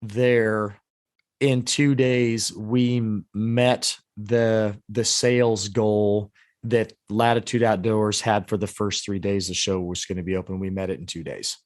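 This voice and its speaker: Hoarse low-voiced man recapping. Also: fairly even intonation, a little slow, no echo, studio quality. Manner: long rambling recaps with many restarts. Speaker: podcast co-host. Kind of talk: casual podcast chat.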